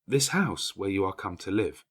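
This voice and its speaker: A man voicing a studious orphan schoolgirl who explains things in a measured, matter-of-fact way for an audiobook. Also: low voice, lively intonation, slightly rough. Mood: matter-of-fact, patient.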